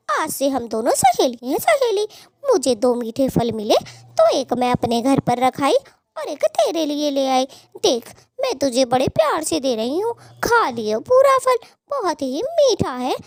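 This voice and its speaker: Woman doing a high-pitched scheming bird wife. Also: huge pitch swings, unhurried, no room sound, clean studio sound, full character voice. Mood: sweetly scheming. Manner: scolding, threatening. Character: quarrelsome, scheming female bird wife, sharp-tongued. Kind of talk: Hindi cartoon voice acting.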